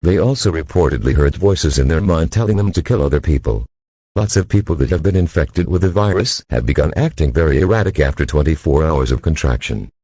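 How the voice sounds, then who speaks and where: A man playing a formal, grave news anchor reading a scripted bulletin in a measured delivery. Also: a bass voice, dark tone, slightly rough, no room sound, exaggerated voice.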